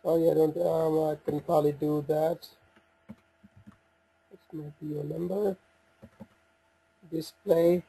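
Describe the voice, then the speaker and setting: A man giving an unscripted software walkthrough, talking through each step in a halting way as he thinks aloud. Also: on the high side, level tone, gravelly, breathy edge, poor audio. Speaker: software demo presenter.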